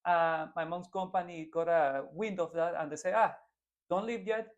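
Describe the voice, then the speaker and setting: A man's voice: a little high, moderate pace, highs cut off. A man in his twenties from Venezuela, a native Spanish speaker with a Venezuelan accent, answering interview questions earnestly with frequent restarts as a political candidate.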